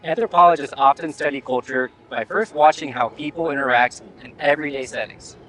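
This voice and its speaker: A man's voice: a little high, brisk, fairly bright tone, rough recording. A man presenting an educational video, narrating and asking curious questions. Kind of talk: scripted narration and on-site questions.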